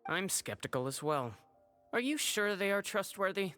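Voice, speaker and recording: An actor playing a skeptical, dutiful research consultant in a video game. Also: male voice, high-pitched, very animated, normal speed, sharp tone, long reverb tail, faint music behind, exaggerated voice. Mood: skeptical, dry. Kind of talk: animated game voice acting.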